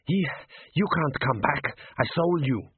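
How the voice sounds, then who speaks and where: A man's voice: fairly high pitch, animated, a little slow, husky, dry recording, phone-quality sound, pitched above the performer's own voice, exaggerated voice. A man voicing a cowardly, bullying slaughterhouse boss in an audiobook, frightened and gibbering. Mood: terrified, pleading. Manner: stammering, gibbering. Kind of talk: audiobook character voice. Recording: band-limited, radio-like sound.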